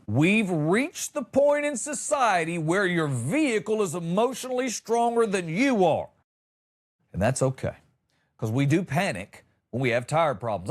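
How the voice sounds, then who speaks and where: A middle-aged American man hosting a comedy podcast, riffing in a wry, exaggerating monologue and acting out lines. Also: high-pitched. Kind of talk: humorous monologue on news stories.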